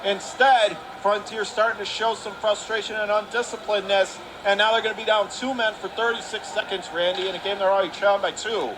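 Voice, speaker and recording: A man calling live ice hockey play-by-play on the radio in clipped phrases, getting excitable on big plays. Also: sits high, a little fast, few pauses. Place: indoor ice hockey arena. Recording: clear rink noise behind the voice.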